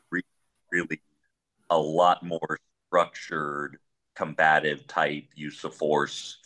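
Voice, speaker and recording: Panel guest: man, police trainer, big voice, very low and forceful, blunt and measured. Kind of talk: panel discussion.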